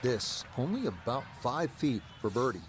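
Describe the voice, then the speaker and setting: A man's voice: mid-range voice, big pitch swings, moderate pace, crowd noise, background noise, close to an everyday voice. A man playing a golf broadcast announcer in a video game, giving short conversational play-by-play calls. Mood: measured commentary. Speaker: golf commentator. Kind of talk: televised sports play-by-play commentary.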